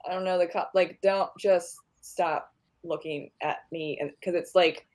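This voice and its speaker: A woman's voice: fairly low pitch, steady tone, unhurried, a little mellow. A woman of thirty chatting casually as a podcast guest, rambling and laughing as she talks. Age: 30s. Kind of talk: casual group chat.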